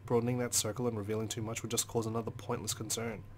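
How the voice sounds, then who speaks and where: A man's voice: lowish voice, flat delivery, racing, slight background noise. A man hosting a let's play, reading a visual novel's story aloud as dramatic, brooding narration.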